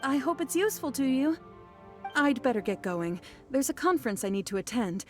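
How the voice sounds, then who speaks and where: A courteous female scholar from a video game, with a fairly high voice. She is polite and a little apologetic. Faint music plays underneath. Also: big pitch swings, fairly quick.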